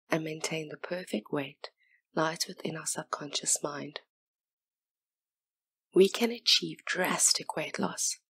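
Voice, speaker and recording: A woman guiding a hypnosis meditation in a soft, breathy near-whisper, with soothing, gentle, unhurried instruction. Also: sits low, dry recording. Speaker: hypnotist and session guide.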